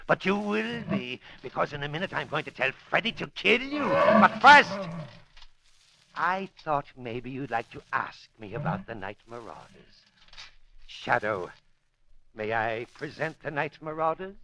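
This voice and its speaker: An actor playing a gloating, unhinged animal-trainer villain in an old-time radio crime drama, theatrical and menacing, swinging between hushed and frenzied. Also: male voice, a little high, very animated, unhurried, creaky, exaggerated voice. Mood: gloating menace. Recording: old radio recording with faint noise.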